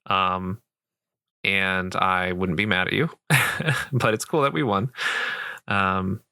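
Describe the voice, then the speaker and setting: A man playing a sweet, patient gamer boyfriend, softly and gently explaining a game in a casual, rambling roleplay. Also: low voice, fairly even intonation, slow pace, no echo, clean studio sound, full character voice. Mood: pleased, reassuring. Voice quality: soft, creaky voice. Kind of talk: roleplay ASMR, casual improvised game tutorial.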